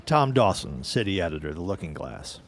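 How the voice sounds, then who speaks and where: A man's voice: deep voice, big pitch swings, moderate pace, a little hiss or hum. Man playing a persistent, swooping-voiced 1910s newspaperman. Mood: polite, insistent. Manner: formal, courteous, probing. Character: Tom Dawson, polite, persistent 1910s newspaper city editor. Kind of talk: period audio drama acting.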